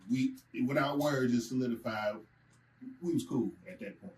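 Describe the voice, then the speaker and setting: A man's voice: medium pitch, normal speed, slight background noise, poor audio. A man from Michigan, a rapper and songwriter, chatting casually in an interview and telling stories about how the group met. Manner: relaxed storyteller, tells anecdotes with acted-out quotes.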